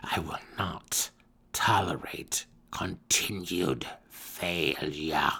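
A man voicing a withered, commanding old dark elf matriarch in a fantasy audiobook, raspy and gravelly, with a slow, imperious, cold and wrathful delivery. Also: deep voice, voice pushed down, heavily acted. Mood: stern, menacing.

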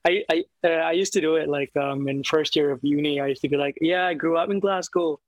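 A young man chats as a podcast guest in a slightly high, relaxed voice, agreeing readily and tossing in short replies. The recording is dry and close. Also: brisk, dry recording. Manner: easygoing, agreeable, short affirmations. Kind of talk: casual chat.

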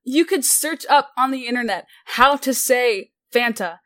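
High-voiced young woman, teasing. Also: unhurried, a bit bright. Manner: teasing, blunt opinions. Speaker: podcast co-host. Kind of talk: casual chat. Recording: poor audio quality.

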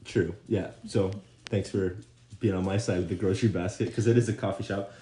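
A man's voice: low-pitched, fairly even intonation, a little fast, mellow, a little hiss or hum. A man co-hosting a casual podcast chat, rambling and hesitant with many restarts. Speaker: podcast co-host.

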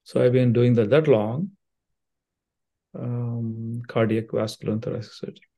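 An older man from India with an Indian accent, a surgeon answering interview questions in a measured way with frequent fillers and restarts. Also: medium pitch, fairly even intonation, mellow. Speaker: cardiothoracic surgeon, guest.

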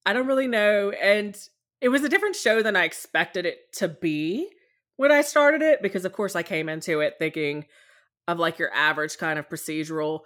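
A young woman hosting a casual podcast call, with a voice pitched a little low. She tells her stories with animated energy and pokes fun at herself. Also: normal speed. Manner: animated storyteller, self-deprecating. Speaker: podcast host. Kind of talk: casual chat over a call.

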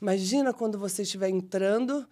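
A São Paulo woman in her forties, speaking with a local Brazilian accent and an easy mid-range voice. She tells her story in an animated, self-deprecating flow, restarting sentences as she goes. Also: mid-range voice, quick pace. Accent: São Paulo Brazilian Portuguese. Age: 40s. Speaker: guest, model and actress. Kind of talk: casual interview storytelling.

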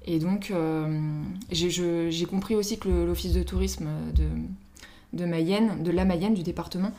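Low-pitched Frenchwoman chatting informally. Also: steady tone, measured pace, warm tone. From Paris, France. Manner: informal, chatty, self-quoting. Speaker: interview guest, social media influencer. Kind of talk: casual conversational interview.

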